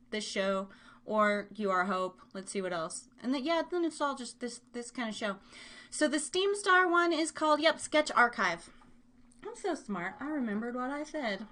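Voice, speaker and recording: A woman's voice: high-pitched, fairly bright tone. A woman hosting a casual live chat show, rambling and giggly, with playful self-deprecation and silly exaggeration. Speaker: podcast host.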